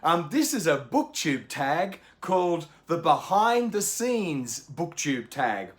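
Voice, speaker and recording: A man hosting a book channel, speaking off the cuff from home in a chatty, courteous way. His voice sits fairly high for a man and is a little croaky, with frequent ums. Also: a bit bright. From Australia. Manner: chatty and polite, many ums and small laughs. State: slightly croaky, coughing. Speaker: BookTube host. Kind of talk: unscripted casual chat to camera. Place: home indoors in the evening.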